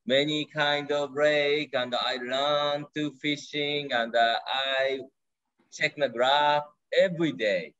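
Excitable Japanese-accented man, slow broken phrases. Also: a little high, highs cut off. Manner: excitable, short broken phrases, often laughing. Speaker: guest angler. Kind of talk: casual interview. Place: home room. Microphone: video call.

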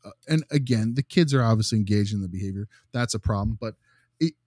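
A man's voice: on the low side, fast, darkish tone, no room sound, studio quality. A man talking casually as a podcast co-host, emphatic and often breaking off to restart his thought. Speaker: podcast co-host, behavior analyst.

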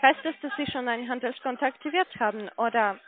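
A woman with a fairly high voice speaks over a narrow phone line. She is a non-native German speaker, polite and formal like a sales agent. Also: quick pace. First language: not German. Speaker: call center sales caller. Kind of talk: phone conversation.

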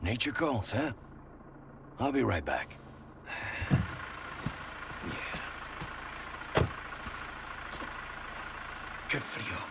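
A man's voice: mid-range voice, sing-song, slow, creaky, heavy background noise, exaggerated voice. An actor playing a cynical, chatty policeman with a Spanish accent in a video game, sardonic and needling. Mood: casual. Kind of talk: cinematic video game acting.

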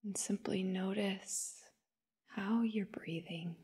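A woman guiding a meditation in a soft, breathy, whispery voice, gentle and soothing, in short phrases with long pauses. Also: mid-range voice, fairly even intonation, slight background noise. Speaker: meditation guide. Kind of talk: guided meditation narration.

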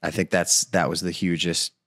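Deep flat-voiced podcast host. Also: male voice, normal speed, no echo, clean studio sound. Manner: earnest, pep-talk style with many restarts. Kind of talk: casual podcast chat. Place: dim studio set with couches. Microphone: boom mic on stand, headphones.

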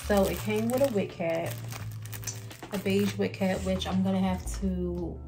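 A woman's voice: medium pitch, steady tone, unhurried, music in the background. A young adult woman with an American accent, a vlogger chatting casually to the camera. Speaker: beauty and lifestyle vlogger.

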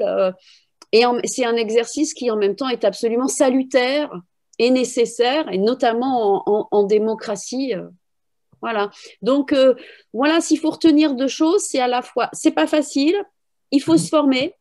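A woman's voice: high voice, warm tone. A middle-aged woman giving spontaneous explanations in an interview on a video call, thinking aloud with frequent little tags. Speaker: philosophy teacher and trainer, interviewee. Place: room at home with bookshelves, indoors. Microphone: video call, computer microphone.

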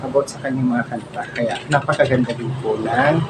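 A middle-aged Filipino man giving an informal show-and-tell to camera, speaking politely and haltingly in a small home room. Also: medium pitch, fairly even intonation. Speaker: vlogger showing a personal collection. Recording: very noisy home phone recording.

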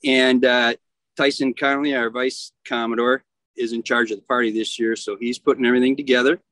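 A middle-aged man, a yacht club commodore talking casually on a video call, often pausing and restarting as he searches for words. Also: mid-range voice, level tone, a bit bright, no echo. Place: outdoors at a marina.

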